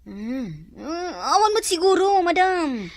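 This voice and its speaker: A woman's voice: very high-pitched, big pitch swings, slow pace, exaggerated voice. A woman playing a cheeky, boyish tomboy servant in an audio drama, playful, naive and bantering. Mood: teasing. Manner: teasing, bantering.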